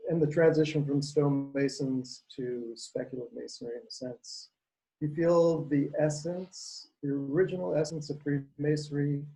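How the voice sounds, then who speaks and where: A man's voice: medium pitch, creaky, warm tone, low-quality recording. A man from Costa Rica speaking up at an online lecture, earnest and groping for words as he asks his question. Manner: earnest, searching for words, drawn out. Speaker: audience member asking a question. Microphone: video call.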